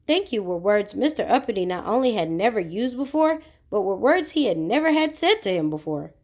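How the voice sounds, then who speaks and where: A woman's voice: mid-range voice, expressive, fairly quick, a little mellow. A woman narrating a children's storybook expressively, putting questions to young listeners. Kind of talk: animated read-aloud narration of a children's storybook.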